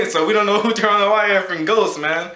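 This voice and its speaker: A man's voice: high voice, moderate pace, pushed and bright. A young man casually telling a story at home, chatty and full of fillers, laughing often. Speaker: co-host. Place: room at home. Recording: echoey room.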